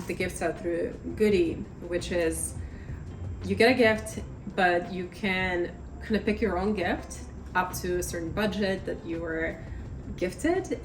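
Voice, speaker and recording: A woman in her thirties chatting casually in a vlog at her table at home, rambling and self-deprecating, her voice slightly raspy from talking too much. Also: fairly low pitch, background noise. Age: 30s. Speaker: vlogger.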